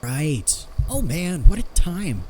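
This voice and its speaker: A man's voice: fairly high pitch, big pitch swings, takes it slowly, dark tone, slight background noise, low-quality recording. A man playing an eager, self-deprecating amateur inventor who rambles through explanations in a conversational fan audio drama. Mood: delighted, nostalgic. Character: eager amateur inventor explaining his homemade theory of strange phenomena.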